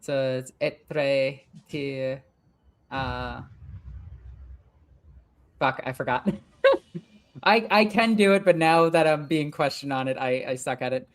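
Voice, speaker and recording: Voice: mid-range voice, lively intonation, slow, long pauses. A young adult gaming streamer chatting casually and rambling while playing a strategy game, swearing now and then.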